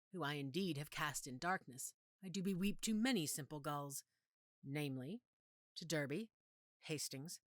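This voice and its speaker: A scheming Shakespearean villain, acted by a woman, deliberate and smug. The voice swings widely and often drops low, in a dry, close recording. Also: fairly bright tone, no echo. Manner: theatrical, deliberate, insinuating. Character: scheming, villainous nobleman confessing his deceit, sly and smug. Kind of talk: Shakespearean monologue acting.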